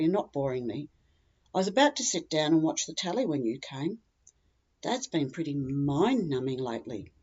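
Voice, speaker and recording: A woman's voice: sits low, darkish tone, husky. Woman playing a chatty, tactless older Australian woman, muffled recording. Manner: rambling, matter-of-fact, oblivious, opinionated. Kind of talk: humorous monologue reading.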